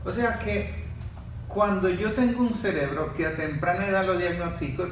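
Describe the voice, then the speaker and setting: A man's voice: sits high, fairly even intonation, moderate pace, slight background noise. A man hosting a casual panel talk, reflecting and building his points step by step. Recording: echoey room, far from mic.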